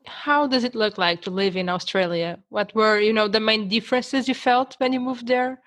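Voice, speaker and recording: A woman's voice: on the high side, moderate pace, a bit bright. A woman hosting a podcast, asking her guests questions in a conversational way. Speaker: podcast host. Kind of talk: interviewing guests.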